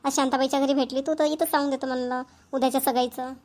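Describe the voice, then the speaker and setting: A woman plays a busy middle-aged cartoon mother from a village, high in pitch and very quick. She sounds hurried and practical, with a Vidarbha Marathi accent. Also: flat delivery, a little mellow, natural voice. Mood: hurried, businesslike. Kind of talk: amateur cartoon voice acting.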